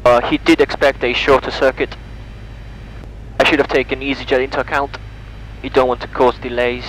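A man's voice: mid-range voice, normal speed, poor audio. A man in his twenties calling out checklists, commenting and making radio calls as a solo pilot on a headset mic. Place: small propeller plane cockpit in flight and taxiing. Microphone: aviation headset boom mic. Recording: headset audio with steady engine noise. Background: light aircraft engine hum.

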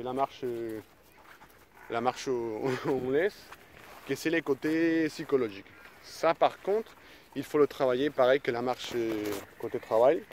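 A man's voice: mid-range voice, darkish tone. A man in his thirties, a dog trainer giving a casual spoken tutorial outdoors in a park, talking with many fillers and restarts into a phone held at arm's length. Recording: faint outdoor background noise.

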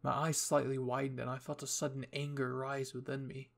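A man's voice: medium pitch, level tone, brisk, gravelly. A man reading a scripted story in the first person as its narrator. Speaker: fan fiction reader.

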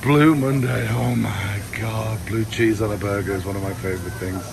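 A man's voice: fairly low pitch, fairly even intonation, moderate pace, vocal fry. A man narrating a walking tour of a food court, exclaiming with enthusiasm over the dishes. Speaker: vlogger touring a food court. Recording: noisy outdoor street recording.

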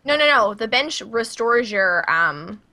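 A woman's voice: fairly high pitch, lively intonation, a little slow, bright, forceful tone. A woman with a Midwestern American accent, chatting casually as she streams a co-op video game. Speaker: gaming streamer. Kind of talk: casual co-op gameplay chat.

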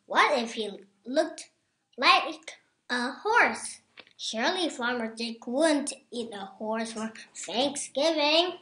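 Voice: high-pitched, lively intonation, takes it slowly, pushed and bright, slight background noise. A six-year-old child reading a picture book aloud, word by careful word. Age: child, six years old. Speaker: young storybook reader. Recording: roomy echo.

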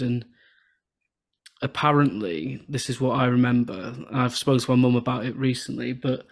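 An English man in his thirties from southern England, hosting a podcast over a video call with a soothing, relaxed cadence. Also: medium pitch. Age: 30s. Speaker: podcast host. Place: home room with shelves and lights. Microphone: podcast microphone on video call.

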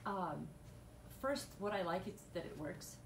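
A woman's voice: on the low side, animated, unhurried. A woman in her thirties from Bosnia, a guest chatting candidly on a radio show in a studio, with lots of fillers and restarts. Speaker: weight loss client guest. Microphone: boom mic, seen from afar. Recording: echoey studio, far camera mic, noisy.

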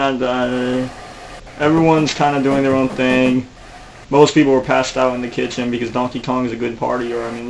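A man's voice: medium pitch, a little hiss or hum, rough recording. A man who narrates his own comedy video over game footage, rambling and mocking himself, with a lot of fillers.

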